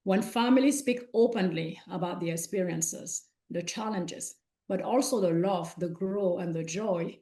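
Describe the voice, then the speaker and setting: A woman's voice: fairly low pitch, measured pace, warm tone. A woman from Cameroon whose first language is French, giving an earnest talk as a guest speaker at a conference, with frequent "uh" fillers.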